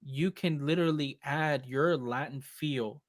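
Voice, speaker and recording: Animated man chatting about drumming. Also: on the high side, dry recording, clean studio sound. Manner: animated, fast-talking, slips into Spanish phrases. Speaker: percussionist guest. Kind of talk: casual live chat.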